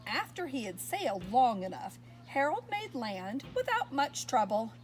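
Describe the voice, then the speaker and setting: A woman reading a picture book aloud to young children, expressive and pausing to ask her listeners questions. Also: fairly high pitch, soft background music. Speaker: storyteller.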